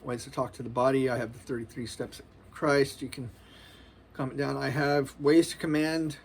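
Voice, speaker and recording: A man's voice: medium pitch, flat delivery, normal speed, creaky, breathy edge. A man in his forties giving a loose, earnest spiritual monologue, casual and full of slang. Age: 40s. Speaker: spiritual vlogger.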